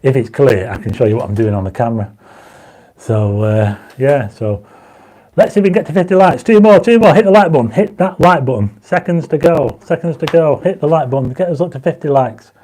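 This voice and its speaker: Casting: man, croaky dry-mouthed livestream host, mellow tone, chats with viewers. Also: fairly high pitch, fairly quick. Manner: reads viewer comments aloud, urges likes; enthusiastic when explaining stars. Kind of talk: casual livestream chat. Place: home astronomy studio.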